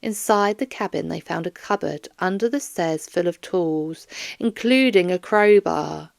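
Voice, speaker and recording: A woman's voice: on the low side, unhurried, gravelly, no echo, studio quality. A woman reading scripted narration for a children's mystery audiobook.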